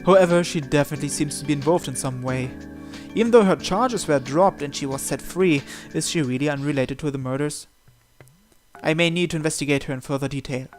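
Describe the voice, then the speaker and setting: Higher-voiced man reading dramatically. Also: brisk. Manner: acts out the protagonist's lines. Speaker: video host reading a visual novel aloud. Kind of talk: dramatized reading of narration and dialogue. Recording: music playing behind the voice.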